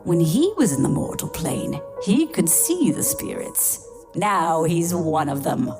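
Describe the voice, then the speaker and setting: A woman hosting a children's story podcast with a playful, theatrical, deliberate scripted introduction. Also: lowish voice, very animated. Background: music.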